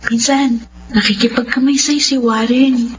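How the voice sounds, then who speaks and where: A woman's voice: a little high, fairly even intonation, a little slow, natural voice. A woman acting a chatty, friendly young woman in a drama, with a Filipino accent. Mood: cheerful. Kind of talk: drama dialogue. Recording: muffled recording with clear noise.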